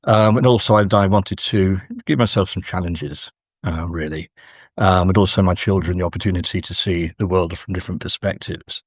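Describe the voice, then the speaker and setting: A middle-aged male academic answering in a conversational interview, speaking haltingly with frequent pauses. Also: low voice, husky, no room sound, studio quality. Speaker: guest academic, university professor.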